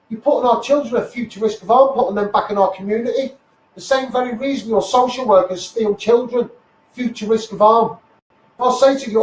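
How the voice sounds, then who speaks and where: A man with a Scouse accent delivers an impassioned, blunt, sweary live rant as a campaigner, repeating phrases for emphasis. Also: extremely high pitch. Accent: Scouse (Liverpool). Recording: echoey, noisy livestream, distant mic.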